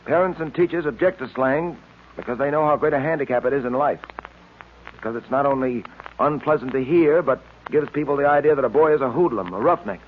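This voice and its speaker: A man's voice: medium pitch, brisk, close to an everyday voice. A man playing an upright, earnest police detective in old radio drama style, speaking in a firm, clipped, fatherly way. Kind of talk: 1940s radio acting. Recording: tinny noisy old radio recording.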